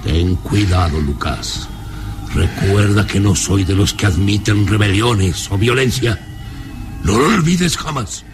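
An actor playing a domineering, menacing baron with a mocking, commanding manner in a radio drama. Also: male voice, on the low side, lively intonation, over music. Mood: stern threatening. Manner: slow taunting menace, commanding. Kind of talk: vintage radio drama acting.

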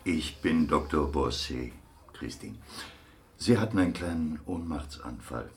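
An actor playing a calm, authoritative male doctor in a radio drama, speaking in a measured way. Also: a bass voice, full character voice. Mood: calm authority.

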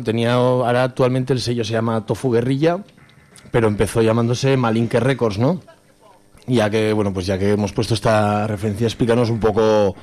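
A man's voice: fairly low pitch, a little mellow. A man from Spain co-hosting a radio show, asking the guest long, winding questions with fillers. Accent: Spanish. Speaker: radio co-host, interviewer. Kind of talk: radio interview.

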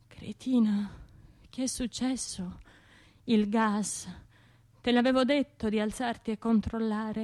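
A woman's voice: fairly high pitch, lightly acted. An actress playing a traumatised, self-reproaching woman survivor in a radio drama monologue, speaking in fragmented, obsessively repeated phrases. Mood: panicked, self-reproaching. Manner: fragmented, repetitive, obsessive delivery with hushed and surging phrases.

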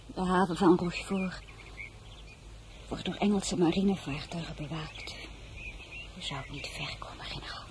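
An actress playing an earnest, emotional young crown princess in a radio play, speaking softly and wistfully, then urgently. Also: female voice, fairly low pitch, moderate pace, pauses often, lightly acted. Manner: soft and wistful, turning urgent and pleading. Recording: noisy old radio play recording.

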